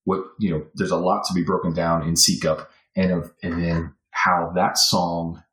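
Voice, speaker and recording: A man with a low voice talks through a podcast interview in loose, rambling fashion, full of fillers and restarts. The sound carries a noticeable echo from the room. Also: flat delivery, normal speed, a bit bright. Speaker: podcast guest. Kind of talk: casual interview talk.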